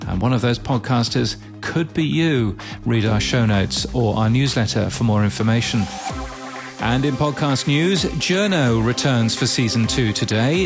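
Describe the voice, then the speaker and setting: A man presenting a scripted podcast news bulletin in crisp newsreader phrasing with wry touches. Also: medium pitch. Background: background music.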